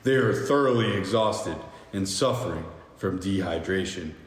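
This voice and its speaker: A man hosting a podcast, reading screenplay stage directions aloud in a halting, jokey amateur way with stumbles. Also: deep voice, expressive. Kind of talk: amateur screenplay table read. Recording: echoey reverberant room with faint hiss.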